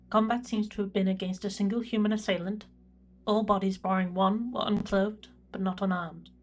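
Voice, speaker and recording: A woman's voice: medium pitch, close to an everyday voice. A woman playing a reserved imperial records prefect, dictating a log in a formal, precise manner for a sci-fi audio drama. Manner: formal, measured, clinical.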